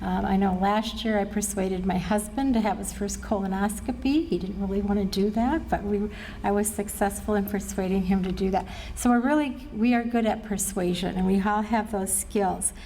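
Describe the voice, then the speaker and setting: Mellow middle-aged American woman presenting. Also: mid-range voice, fairly even intonation, moderate pace, slight background noise, low-quality recording. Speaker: suicide prevention trainer and presenter. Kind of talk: training presentation to a live group. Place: presentation room at a care facility.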